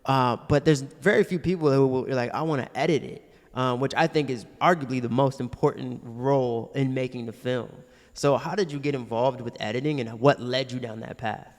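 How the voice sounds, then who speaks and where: A man's voice: medium pitch, fairly quick. A man hosting a podcast interview, asking long, rambling questions in a casual way. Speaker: podcast host. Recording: long reverb on voice.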